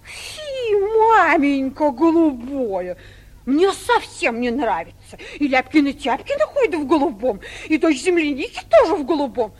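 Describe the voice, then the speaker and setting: An actress playing a spoiled, contrary young provincial daughter, pouting in 1940s theatrical comedy style. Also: female voice, high voice, sing-song, a little slow. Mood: pouty, contrary. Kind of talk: 1940s theatrical stage comedy acting for radio.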